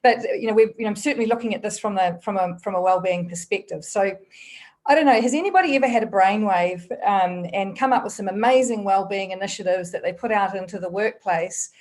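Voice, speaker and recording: A woman with a New Zealand accent giving an online workshop presentation, chatty and quick, with many fillers and restarts. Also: mid-range voice, a little mellow. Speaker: guest presenter.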